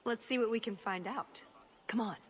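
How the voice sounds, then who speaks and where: A woman's voice: fairly high pitch, normal speed, slight background noise, natural voice. An actress voicing a curious, breezy woman rogue companion in a video game, talking casually and inquisitively. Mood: brisk, wry.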